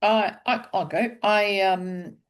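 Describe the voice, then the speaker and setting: A middle-aged woman, sharing openly as a workshop participant with halting restarts. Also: medium pitch, steady tone, clean tone. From Wales. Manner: chatty, halting restarts, light laughs.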